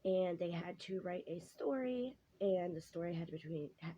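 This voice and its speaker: Hoarse woman rambling at home. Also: lowish voice, moderate pace, mellow. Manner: rambling, many ums and self-corrections. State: hoarse, losing her voice. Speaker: vlogger. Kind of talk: casual chat to camera. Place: home kitchen while cooking. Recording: dull lo-fi home recording, faint noise.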